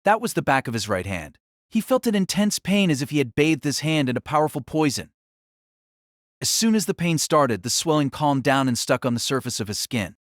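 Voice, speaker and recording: A man's voice: a little high, very animated, fairly quick, no echo, studio quality. A man narrating a scripted story in a dramatic audiobook style.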